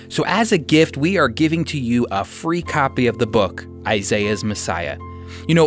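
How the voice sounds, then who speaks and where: A man giving a scripted radio sermon as host and Bible teacher, speaking earnestly with deliberate, emphatic phrasing. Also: medium pitch.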